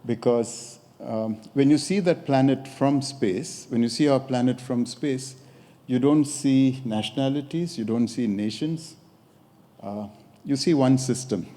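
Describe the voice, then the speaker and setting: Mellow Indian-accented older man, echoey hall. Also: mid-range voice. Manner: measured, pausing with occasional hesitations. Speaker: design academic giving a talk. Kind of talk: conference talk. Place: lecture hall at a podium. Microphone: podium microphone.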